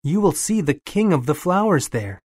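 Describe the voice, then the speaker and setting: A gentle, caring little bird with a slightly raised voice, as a man puts him on. The storybook reading sounds close and dry. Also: fairly high pitch, very animated, normal speed, husky, no room sound, pitched above the performer's own voice. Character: kind gentle male swallow, small bird.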